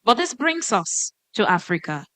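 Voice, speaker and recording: A woman moderating a conference panel, posing questions to the panelists in a lively way. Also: mid-range voice, moderate pace, dry recording. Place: conference stage.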